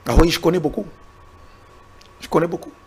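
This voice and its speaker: A man's voice: a little high, fairly even intonation, fairly quick, husky. A Congolese man arguing hard in a political interview, repeating words when pressed and citing the Bible. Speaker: interview guest. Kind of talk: heated political debate.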